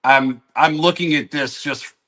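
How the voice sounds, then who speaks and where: Bright-voiced middle-aged man from Georgia. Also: fairly high pitch, unhurried. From Atlanta, Georgia. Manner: hedging, self-correcting, talks in restarts. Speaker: guest. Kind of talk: casual sports talk.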